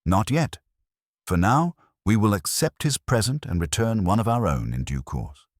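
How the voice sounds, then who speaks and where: A man's voice: lowish voice, very animated, moderate pace, dry recording, studio quality, voice pushed up. A man voicing an imperious, pedantic older genius detective in an audiobook, with formal, precise, commanding diction.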